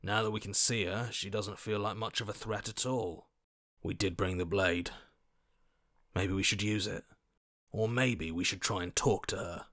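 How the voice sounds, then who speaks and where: A man playing a wary, calculating inner voice in a video game, questioning, deliberate and insistent. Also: fairly low pitch, fairly even intonation, fairly bright tone, no echo, clean studio sound, close to an everyday voice. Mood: wary planning. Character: wary, calculating male inner voice, suspicious strategist. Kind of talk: dramatic video game voice acting.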